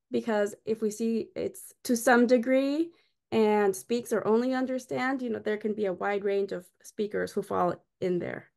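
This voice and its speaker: A woman's voice: a little high, vocal fry, darkish tone. A middle-aged woman from Costa Rica giving a friendly, explanatory lecture over a video call from a small room. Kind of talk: online lecture with slides. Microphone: webcam on a video call.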